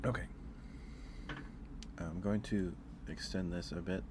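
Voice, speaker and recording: A man hosting an ASMR livestream in a soft, whispery voice, hushed and unhurried, close to the listener. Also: deep voice, fairly even intonation, background noise. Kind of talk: ASMR, casual chat to live viewers.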